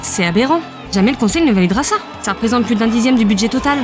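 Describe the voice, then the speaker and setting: A woman plays an earnest councilwoman in an audio drama. She has a high voice and speaks quickly, articulate and insistent, over faint music. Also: animated, natural voice. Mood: indignant. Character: earnest, indignant woman council representative, passionate about monsters. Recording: music bed behind the voice.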